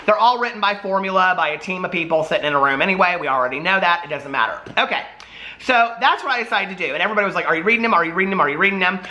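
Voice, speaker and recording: A middle-aged American man talking casually to his viewers in a chatty, animated, rambling way. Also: sits high, racing. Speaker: book vlogger. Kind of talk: casual chatty vlog talk.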